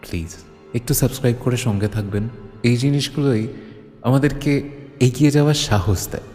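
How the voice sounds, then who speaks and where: A man's voice: medium pitch, fairly quick, a little mellow. A man hosting and narrating a horror audio story in a dramatic scripted style. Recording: long reverb, music underneath. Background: music.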